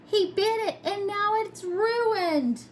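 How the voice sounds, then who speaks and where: A woman acts out an eager young boy shark from a picture book. The voice is high and exclaiming, turning whiny when crossed, with an impulsive, easily muddled temper. Clear background noise sits around it. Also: slow pace. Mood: upset, whining.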